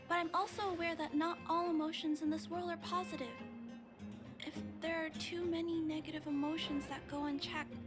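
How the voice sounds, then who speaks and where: A woman voicing a gentle, earnest young girl in a video game, polite and sincere. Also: high-pitched, lightly acted. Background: game music.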